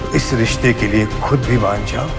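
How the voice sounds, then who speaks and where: A man's voice: medium pitch, moderate pace, creaky, close to an everyday voice. Man acting a commanding rich old grandfather, music behind. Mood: cold, threatening. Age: older. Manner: commanding, imperious. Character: stern, proud, rich old grandfather and business magnate. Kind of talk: Hindi audio drama acting. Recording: background music under the voice.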